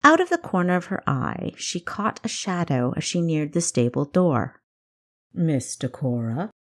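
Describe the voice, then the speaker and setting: A woman's voice: fairly low pitch, huge pitch swings, moderate pace. A woman reading scripted fiction as the narrator of an audiobook. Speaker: author narrating own audiobook.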